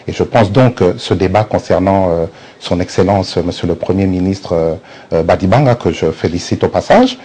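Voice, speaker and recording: Politician guest, man, 50s, earnest and halting; husky, low, animated delivery. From Congo. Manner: earnest, hesitant with frequent fillers. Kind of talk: TV interview.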